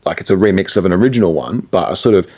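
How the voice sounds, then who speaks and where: A man's voice: low-pitched, animated, rapid-fire, a little mellow. A man chatting casually as a guest about his creative work, in a colloquial, self-deprecating way. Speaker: musician, DJ and radio presenter guest. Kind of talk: casual chat about making music.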